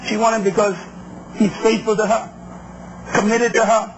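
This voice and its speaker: A man's voice: sits high, level tone, moderate pace, highs cut off. A man preaching to a congregation, emphatic and declamatory, in short repeated phrases with questions thrown out for response. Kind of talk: impassioned sermon to a congregation. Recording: very noisy live speech recording.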